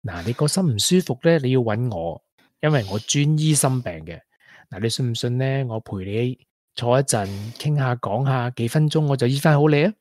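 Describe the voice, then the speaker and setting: A man's voice: medium pitch, animated, a little fast, darkish tone, no room sound, clean studio sound, natural voice. An actor with a Hong Kong Cantonese accent playing a caring, playful young man in a radio drama, with a coaxing, colloquial manner. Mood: tender, teasing. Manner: coaxing, colloquial, with English interjections. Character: caring, playful, persistent young man wooing a grieving colleague.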